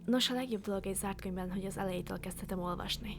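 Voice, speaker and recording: A woman voicing a clingy young girlfriend in a fan dub, teasing at first and then breaking into shrill, sobbing hysterics. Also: music in the background, close to an everyday voice. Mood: playful. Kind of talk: amateur fan dub acting.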